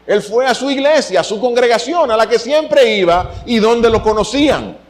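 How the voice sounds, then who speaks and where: A man's voice: very high-pitched, normal speed. A middle-aged man from the Dominican Republic with a Dominican accent, preaching a sermon as pastor, his voice swinging upward to stress a point. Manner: animated preaching, voice swinging up for emphasis.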